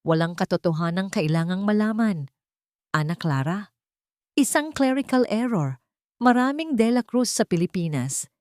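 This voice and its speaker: A woman's voice: mid-range voice, animated, moderate pace, a little mellow, no room sound, clean studio sound, close to an everyday voice. A woman with a Filipino accent voicing a cold, domineering wealthy male employer in an audio drama, curt and final. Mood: cold, commanding.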